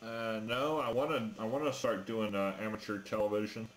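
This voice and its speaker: A man chatting casually as an amateur radio operator, talking in a hesitant, halting way. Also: fairly low pitch, fairly even intonation. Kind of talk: casual ham radio chat. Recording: noisy amateur radio transmission.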